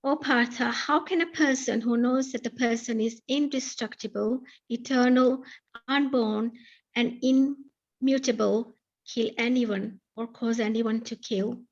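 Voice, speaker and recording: A woman with a somewhat high voice reads translated verses in steady, measured phrases. The sound is dull and lo-fi, like a video call. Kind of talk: reading scripture translations aloud.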